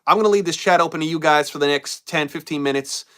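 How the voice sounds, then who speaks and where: A man hosting a wrestling live stream in a loose, rambling way, often repeating himself and trailing off. He is getting over a cold and losing his voice a little. His voice sits fairly high and sounds bright and forward. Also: fast. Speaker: wrestling show host. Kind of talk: live-stream chat, reading viewer comments.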